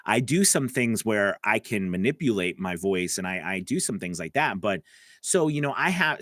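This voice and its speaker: A man's voice: fairly low pitch, a bit bright, dry recording. A man coaching voice actors on a live stream, answering viewer comments in a casual, chatty way from his home studio. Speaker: voice-over coach.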